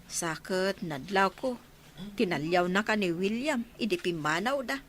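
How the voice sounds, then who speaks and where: A woman's voice: medium pitch, a little slow, a little hiss or hum, lightly acted. A woman with an Ilocano accent playing an inquisitive, frank mother in a village radio drama. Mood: probing, advising.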